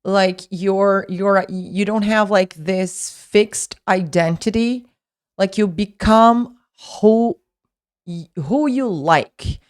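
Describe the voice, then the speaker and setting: Slow, chatty woman teaching English. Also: medium pitch, smooth. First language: Portuguese. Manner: chatty, many fillers and restarts. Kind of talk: casual online English lesson.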